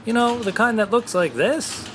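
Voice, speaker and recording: A man playing a goofy, excitable, scatterbrained teenage boy in a 1940s-style radio comedy. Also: very high-pitched, moderate pace, noisy background. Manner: exclamatory, swooping.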